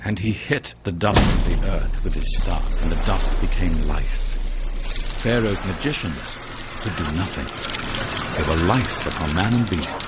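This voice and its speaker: An actor playing the solemn storyteller of an animated biblical epic, narrating scripture with weight. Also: male voice, low-pitched, a little slow, loud music behind the voice.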